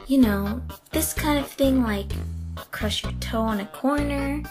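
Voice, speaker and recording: A woman's voice: sits high, a little slow. A woman voicing a sweet, curious human child in a cartoon comic dub, cutesy and a little hesitant. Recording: music under the voice.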